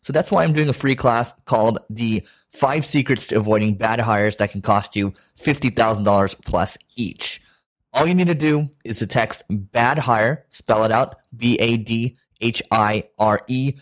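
A man's voice: medium pitch, unhurried. A man hosting a business interview podcast, talking conversationally with small restarts. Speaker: podcast host. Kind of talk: interview hosting and sponsor read.

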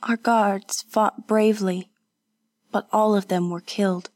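A woman voicing a calm, tender and resolute young noblewoman in an audiobook, speaking gently and firmly. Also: medium pitch, a little slow, no echo, clean studio sound, close to an everyday voice. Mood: reassuring. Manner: gentle, soothing, firm. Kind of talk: audiobook character voice.

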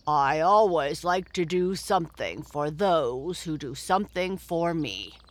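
A gruff, self-important old frog croaks out his words slowly and deliberately, as a woman puts on his voice. His voice sits low, loud and heavy. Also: exaggerated voice. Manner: deep, gruff, slow and pompous.

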